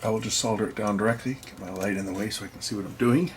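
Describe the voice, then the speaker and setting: A man's voice: lowish voice, steady tone, racing, slight background noise. A man giving casual hands-on narration as host of an electronics repair video, half muttering to himself as he works.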